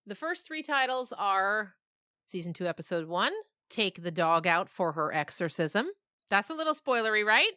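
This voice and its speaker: A woman's voice: mid-range voice, very animated, moderate pace, bright, forceful tone, dry recording, studio quality. A woman talking casually in an interview as a writer, explaining her ideas thoughtfully and often checking in with the listener. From grew up on a farm. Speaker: interviewed writer of a fiction podcast.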